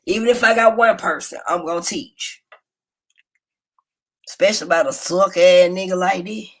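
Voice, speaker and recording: A woman hosting a casual livestream, telling stories in a loud, profane and animated way. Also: moderate pace. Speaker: livestream host. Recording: low-quality phone stream.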